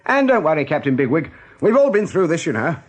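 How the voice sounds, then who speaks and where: An actor playing a brisk rabbit captain in a radio play, commanding, mockingly jovial and husky. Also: male voice, a little high, sing-song. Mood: jovially commanding. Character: brisk male rabbit captain, genial yet menacing officer. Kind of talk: radio drama acting.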